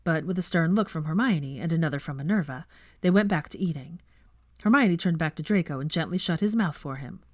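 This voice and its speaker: A woman narrating a story in an expressive audiobook reading style. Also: low-pitched, a little fast, no room sound, studio quality.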